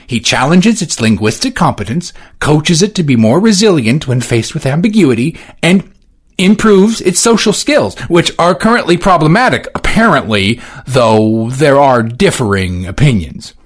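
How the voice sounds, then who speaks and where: A man's voice: on the high side, big pitch swings, normal speed, breathy edge, voice pushed up. A man doing a careful, formal business manager.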